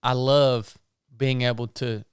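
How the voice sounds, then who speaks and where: A man's voice: medium pitch, little pitch movement, slow pace, clear, resonant tone, dry recording, studio quality. Earnest folksy man hosting a podcast. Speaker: podcast host. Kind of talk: casual interview chat.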